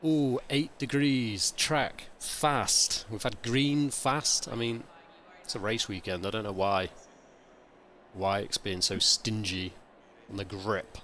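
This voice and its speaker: A man streaming a sim race, with a croaky, hungover voice, rambling and thinking aloud in casual chat. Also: on the low side, fairly even intonation, takes it slowly, fairly bright tone. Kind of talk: casual live-stream commentary.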